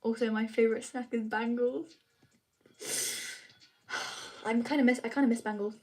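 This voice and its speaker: A woman's voice: a little high, fairly even intonation, a little slow. A young woman chatting casually with her viewers on a live stream, rambling with lots of fillers. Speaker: vlogger answering chat.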